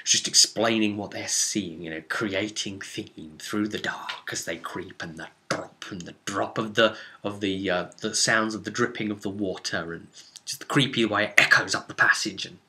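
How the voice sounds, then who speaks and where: A man's voice: on the low side, steady tone, normal speed, pushed and bright. A man recounting a tabletop role-playing session as game master, informal and rambling, with descriptive detail and dramatic emphasis on key words.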